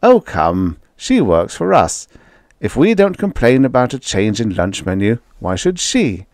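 A calm, wry businessman speaks in a lightly raised, lively voice, as a male reader plays him. He sounds mildly amused and easygoing. The sound is close and dry. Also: medium pitch, a little slow, no echo. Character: calm, wry middle-aged male industrialist. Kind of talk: audiobook character voice.

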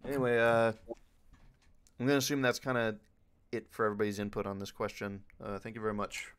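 A man's voice: on the low side, flat delivery, fast, fairly bright tone, clean tone. A man talking casually as a panelist during a question-and-answer session. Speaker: panelist, dubbing group member.

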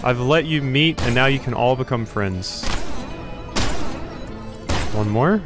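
A man's voice: medium pitch, takes it slowly, over music. A cheerful young man giving laughing commentary while playing a game. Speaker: let's play host. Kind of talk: gameplay commentary.

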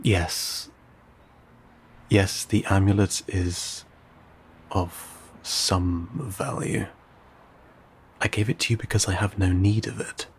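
A man playing an ancient, courteous, guarded vampire in an intimate audio roleplay, speaking in formal, old-fashioned, measured phrases with some hesitation. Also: fairly even intonation, a little hiss or hum. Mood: flustered, reluctant. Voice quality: low, gravelly, breathy.

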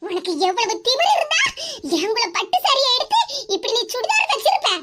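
A woman playing a quick-tempered older mother-in-law who scolds sharply. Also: very high-pitched, big pitch swings, very noisy. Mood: scolding. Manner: sharp, scolding, fast-talking.

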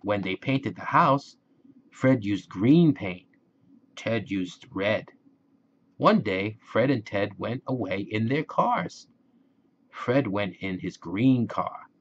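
Male narrator for kids' picture book, unhurried and very lively pitch, slightly dull lo-fi recording. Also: a little hiss or hum. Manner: slow, deliberate, expressive phrasing for young listeners. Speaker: children's book reader. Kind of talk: storybook read-aloud narration for children.

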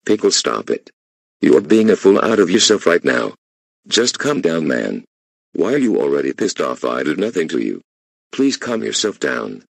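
A man's voice: a bass voice, big pitch swings, normal speed, gravelly, husky, no room sound, heavily acted. A man voicing a stressed, defiant employee who whines and complains. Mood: defensive. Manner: whining, complaining. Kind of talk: amateur voice acting.

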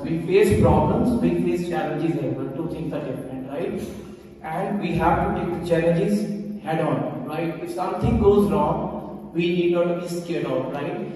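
A man's voice: fairly high pitch, dark tone. A young man with a Punjabi accent, a native Punjabi speaker, earnestly telling students a personal story into a handheld mic, often asking "right?". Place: large school hall, indoors. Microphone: handheld mic, far camera. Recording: echoey hall recorded from far off.

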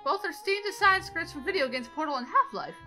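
A woman playing a composed female anime angel mentor, gently teasing and chatty in improvised parody game talk. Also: high-pitched, expressive, a little fast, faint music behind. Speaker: guest co-player on a gaming channel.

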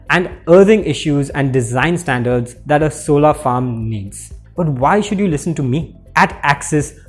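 Man explaining with a fairly high voice. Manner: clear, steady teaching delivery with hand gestures. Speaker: presenter for an electrical equipment maker. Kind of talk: scripted explainer. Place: indoor workshop with electrical panels.

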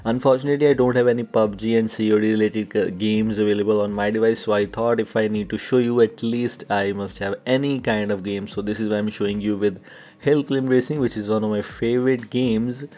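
A young man with a dark, mellow, fairly low voice. He talks through a tech tutorial casually, going step by step and often repeating himself. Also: steady tone, brisk. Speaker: tech YouTuber and host.

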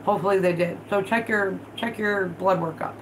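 A woman's voice: mid-range voice, moderate pace, slight background noise, rough recording. A woman in her thirties hosting a casual livestream at home, stuffed up from allergies, chatting bluntly and swearing freely while she works with her hands. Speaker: vlog host. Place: living room at home, indoors.

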